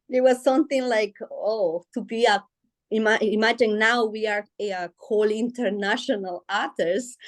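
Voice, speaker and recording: A woman whose first language is Spanish, talking as an author guest in a casual interview, hesitant with many fillers and restarts, often laughing as she speaks. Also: medium pitch. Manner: emotional, laughs while speaking.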